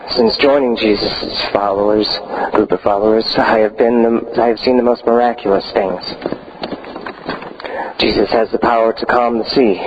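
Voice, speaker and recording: A man's voice: lowish voice, steady tone, normal speed, lightly acted. A man playing a small, devout, awestruck disciple in a church dramatic monologue, giving emphatic testimony. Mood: awed. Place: church, live performance. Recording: muffled, clearly noisy live church recording.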